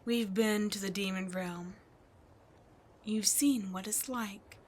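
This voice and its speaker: A woman voicing an immortal demon queen in an ASMR roleplay, imperious, seductive and cruel, speaking deliberately and often breathily. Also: medium pitch, steady tone, a little mellow, slight background noise, close to an everyday voice. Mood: haughty, persuasive. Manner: slow, deliberate, haughty, often breathy and whispered. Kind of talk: ASMR roleplay villain monologue.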